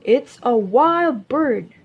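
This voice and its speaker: Lady reading as a storybook dad mole, firm and matter of fact, kinda husky, slow. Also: high voice, animated, narrow, tinny audio, full character voice. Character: firm, plain-spoken adult father mole.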